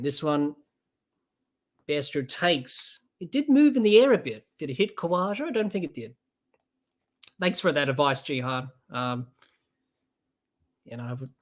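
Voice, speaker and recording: A person in their twenties with an Australian accent, hosting a casual live cricket podcast, chatting loosely and reading out viewer comments between balls. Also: mid-range voice. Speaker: podcast host.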